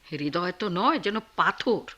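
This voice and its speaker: A woman's voice: lowish voice, animated, a little slow, pushed and bright, lo-fi sound, exaggerated voice. An actress playing a compassionate, outspoken middle-aged wife in a radio drama, reproachful and indignant with her husband.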